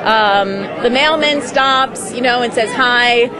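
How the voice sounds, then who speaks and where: A woman's voice: a little high, takes it slowly, music in the background, a crowd in the background. A woman interviewee speaking in a hesitant, chatty way.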